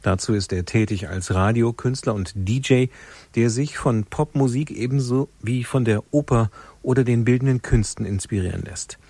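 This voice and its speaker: A man's voice: mid-range voice, normal speed, mellow, breathy edge, no room sound. A man reading a scripted introduction to a radio play as a broadcast announcer. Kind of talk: scripted narration, programme introduction.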